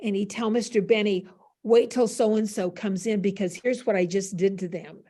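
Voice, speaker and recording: A woman's voice: mid-range voice, steady tone, moderate pace, mellow. Older female panel guest, professor type; chatty, self-deprecating storyteller on a video call. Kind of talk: casual anecdotal storytelling. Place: classroom.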